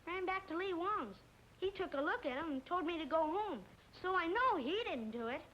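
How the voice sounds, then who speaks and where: A performer voicing an earnest, excitable young boy in an old television western. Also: extremely high pitch, normal speed, highs cut off. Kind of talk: 1950s television western acting. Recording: faint noise on old soundtrack.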